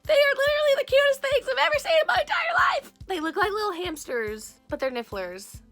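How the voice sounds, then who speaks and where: A woman vlogger telling a story in a chatty way, gushing and excitable, her voice hoarse from losing it. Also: very high-pitched, brisk, soft background music.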